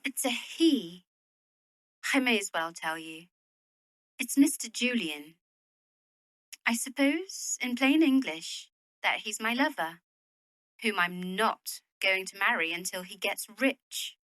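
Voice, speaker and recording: An actress playing a proud, practical young Victorian widow in a period audio drama, speaking in a worldly, opinionated way. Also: female voice, mid-range voice, lively intonation, takes it slowly, pauses often, a bit bright, no echo. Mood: anxious, confiding. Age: young adult.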